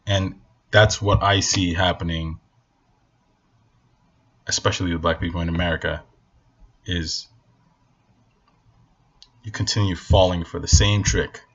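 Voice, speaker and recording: A fairly low, husky male voice from Northern Nigeria, a native Hausa speaker, ranting bluntly to camera. He pushes his points hard and repeats them for emphasis. The recording sounds dull and lo-fi. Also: a bit bright. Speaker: vlogger. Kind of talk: casual opinion rant to camera.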